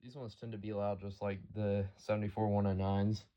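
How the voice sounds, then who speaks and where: A man's voice: lowish voice, little pitch movement, fairly quick, dull audio. A man giving a casual, off-the-cuff show-and-tell narration as the host of a collection video. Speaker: host showing a weather radio collection.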